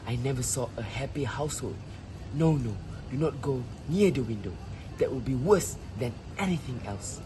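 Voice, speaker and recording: A man playing a troubled, cautious old villager in an amateur stage drama, speaking in deliberate, halting phrases. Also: medium pitch, animated, lightly acted. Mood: anxious, grave. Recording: noisy ambient background. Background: clear ambient noise.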